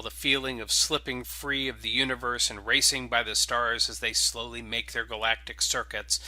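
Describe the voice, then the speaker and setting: A man hosts and narrates with an informal, keen delivery. His voice is very bright and forceful, at an ordinary male pitch. The sound is dry and close. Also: dry recording. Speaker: game writer and host introducing and reading stories. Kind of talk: casual podcast intro, then dramatic scripted narration.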